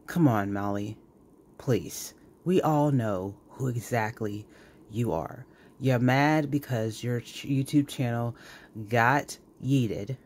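A man's voice: medium pitch, darkish tone. A man hosting reaction commentary, scolding emphatically and repeating words for effect. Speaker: commentary host.

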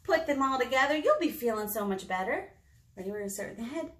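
An American woman in her thirties hosting a children's storytime, expressive and talking directly to small children in a room. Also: fairly high pitch, fast talker, a little hiss or hum. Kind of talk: children's storytime read-aloud with singing. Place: small indoor meeting room. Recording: roomy indoor echo.

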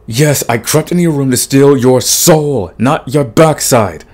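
A man playing a big, grumpy but soft himbo demon in a romantic comedy audio roleplay, husky and stammering in protest. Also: mid-range voice, unhurried, close to an everyday voice. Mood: flustered, indignant. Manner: grumbling, sighing, stammering protests. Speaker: voice actor.

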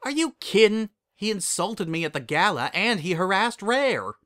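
A man's voice: mid-range voice, lively intonation, measured pace, bright, forceful tone. Storybook farm pony, female man performing: blunt, folksy, plainspoken, no-nonsense. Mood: indignant. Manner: blunt, folksy drawl in wording. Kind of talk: storybook character dialogue.

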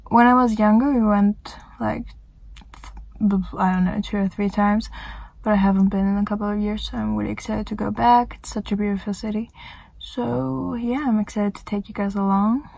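Young female vlogger, raspy with some fry, flat casual delivery, phone mic. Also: mid-range voice, normal speed. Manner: chatty, rambling with many fillers. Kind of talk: casual vlog chat. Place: inside a car. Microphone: phone camera at arm's length.

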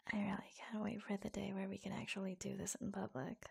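Flirtatious secret office lover, played by a woman: teasing, intimate ASMR roleplay, husky half-whispers with vocal fry. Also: mid-range voice, heavily acted. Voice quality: breathy, husky, often dropping to a whisper. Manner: slow, coy, teasing, giggly. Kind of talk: ASMR roleplay, intimate romantic audio drama.

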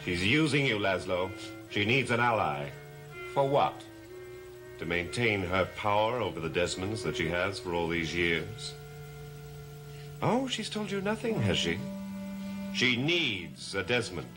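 A proud, mocking gentleman of a cursed house, in a gothic television soap. His deep voice moves theatrically up and down, sardonic throughout. Music plays clearly behind him. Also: very animated, unhurried, pauses often. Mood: mocking, defiant. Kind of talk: gothic soap opera acting.

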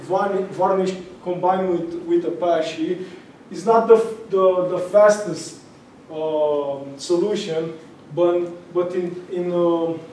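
A young man with a Romanian accent gives a meetup talk, stopping and restarting often with lots of fillers. His voice sits high and mellow, and he talks slowly. It is picked up from far off in a very echoey room. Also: a little hiss or hum. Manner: halting, many fillers and restarts. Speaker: speaker at a tech meetup. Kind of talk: conference talk. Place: large room with audience. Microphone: far camera.

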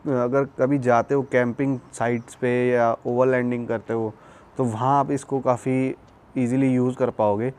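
A man's voice: mid-range voice, a little mellow. Young man, casual reviewer. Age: young adult. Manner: conversational, explanatory, mixes in English words. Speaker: product reviewer and vlogger. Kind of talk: casual product review to camera. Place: outdoors, terrace and courtyard.

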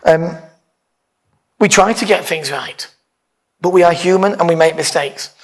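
A man's voice: sits high, unhurried. A man, a pastor giving an informal, conversational sermon from a church stage with emphatic stresses. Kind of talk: informal sermon, partly reading aloud. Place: church hall, speaking from a lectern on stage.

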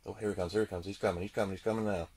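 A man giving casual running commentary as he fishes, muttering and repeating himself in a husky, gravelly voice. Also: sits low, fairly even intonation, rapid-fire, a little mellow. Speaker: ice angler demonstrating a fish finder. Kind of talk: excited casual running commentary while fishing.

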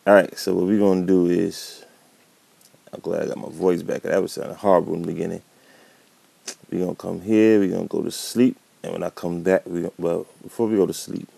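Very low, gravelly man with a cold. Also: warm tone. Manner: laid-back, thinking aloud while building. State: catching a cold. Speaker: gameplay commentator. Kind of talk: casual gameplay commentary.

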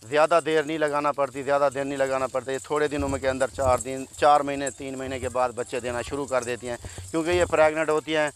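A man's voice: mid-range voice, steady tone, fast talker, few pauses, clear, resonant tone. A man in his thirties from Pakistan who runs a goat farm, chatting like a salesman as he walks viewers through his herd in an open field, recorded on a phone held at arm's length. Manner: chatty salesman, repeatedly addressing friends. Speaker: goat farm owner and seller. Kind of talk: casual sales walkthrough vlog. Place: open harvested field outdoors.

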